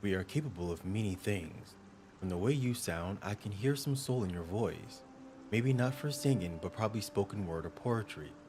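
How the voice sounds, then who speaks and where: A young man streaming a game, chatting casually with viewers in a smooth, upbeat, joking way. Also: lowish voice, expressive, moderate pace, dark tone, a little hiss or hum. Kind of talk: casual livestream chat.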